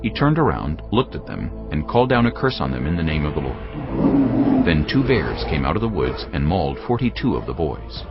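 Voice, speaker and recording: A man narrating scripture as a scripted drama, solemn and measured. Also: extremely low pitch, very animated. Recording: dull lo-fi sound, music underneath. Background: faint music.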